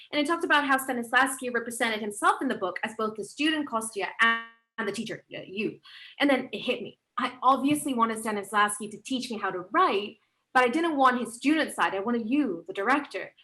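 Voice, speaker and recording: A woman acting an eager, flustered author in a play read-through, excitable and often searching for words. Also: fairly high pitch, fairly quick. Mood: excited, musing. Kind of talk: stage play table read.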